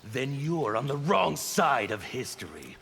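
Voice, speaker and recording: An actor playing a fanatical, defiant anarchist villain in a fighting game, with a strained, theatrical delivery. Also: male voice, medium pitch, big pitch swings, unhurried, over loud music. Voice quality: gravelly, strained. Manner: theatrical, declamatory. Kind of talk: fighting game character dialogue. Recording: long reverb, faint background noise.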